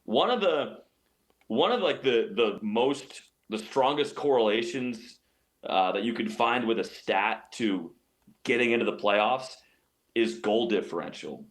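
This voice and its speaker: A man's voice: medium pitch, lively intonation, a little slow, poor audio. A man giving sports analysis as an interview guest, explaining his points emphatically and often repeating phrases. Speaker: radio play-by-play announcer as guest.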